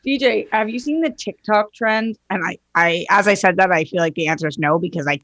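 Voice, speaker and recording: A woman's voice: medium pitch, brisk, a bit bright, no room sound. A woman chatting casually as a podcast co-host in a playful, teasing manner. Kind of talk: casual chat.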